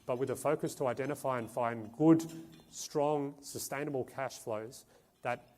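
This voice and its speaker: A man giving a conference presentation as a fund manager, talking in a halting way with frequent restarts and repeated words. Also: mid-range voice, a little mellow. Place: conference stage.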